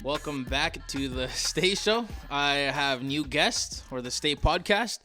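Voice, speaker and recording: A man's voice: on the high side, lively intonation, slow, sharp tone, soft background music. A man hosting a podcast in a casual, conversational style, rambling and joking as he goes. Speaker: podcast host.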